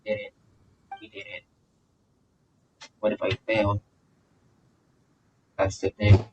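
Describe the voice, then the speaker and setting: A man doing casual live gameplay commentary, excitable and repeating short phrases as he reacts. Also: fairly low pitch, slow pace, dull audio, background noise. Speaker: streamer reacting to a game. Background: game music.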